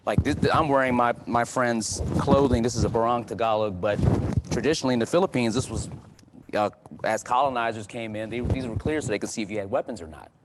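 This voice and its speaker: A man speaking as a guest in a panel discussion, earnest and conversational, often repeating and restarting words as he gets more animated. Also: mid-range voice, fast, heavy background noise. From United States.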